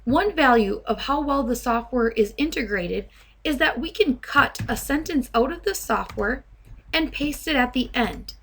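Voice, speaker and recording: A woman software trainer narrating a step-by-step demo in a measured, instructional way. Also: high voice, lively intonation, husky.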